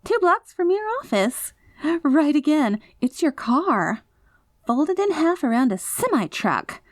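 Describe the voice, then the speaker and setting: A woman's voice: sits high, sing-song, measured pace, no room sound, clean studio sound. A woman playing an obsessive, possessive girlfriend in a scripted audio roleplay, theatrical and sugary with an edge of threat. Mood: mocking, menacing. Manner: saccharine pet names laced with threats, theatrical. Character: obsessive possessive yandere girlfriend, sweetly menacing, long reformed, unraveling. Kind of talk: scripted ASMR roleplay audio drama, voicemail monologue.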